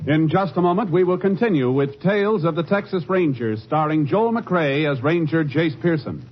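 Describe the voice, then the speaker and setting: A man's voice: a little high, normal speed. A man announcing a 1950s radio show from a script. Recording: dull old radio with loud music.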